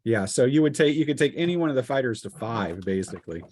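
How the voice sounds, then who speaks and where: Man, medium pitch, game master, casual rules explanation, halting, conversational. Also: lively intonation, darkish tone, a little hiss or hum. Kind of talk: casual tabletop game refereeing.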